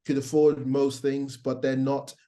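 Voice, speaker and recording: A man's voice: mid-range voice, completely flat delivery, measured pace, dark tone. A middle-aged man with a Nigerian accent and a faint American twang, a guest chatting casually and rambling with plenty of fillers and restarts. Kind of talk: casual interview chat.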